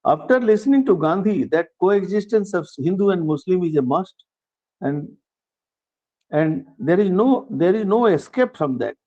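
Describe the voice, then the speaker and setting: Older Indian man, mellow voice, earnest. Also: sits high, animated, dull audio. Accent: Indian English. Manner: earnest, measured speech with frequent restarts. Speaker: award recipient giving acceptance speech. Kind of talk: formal speech.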